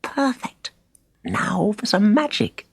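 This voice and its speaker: A man's voice: high-pitched, animated, slow pace, gravelly, slightly rough, no room sound, voice pushed up, heavily acted. A man voicing an eager, timid boy apprentice sorcerer, bumbling but hopeful, in a children's audiobook. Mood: excited. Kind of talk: audiobook character voice.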